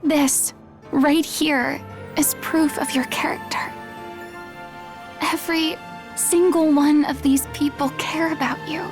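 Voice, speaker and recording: A woman's voice: high voice, fairly even intonation, slow, pauses often. A woman voicing a caring, impassioned girl, a loyal best friend, in an earnest and emotional video game cutscene. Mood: earnest, reassuring. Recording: background music under the voice.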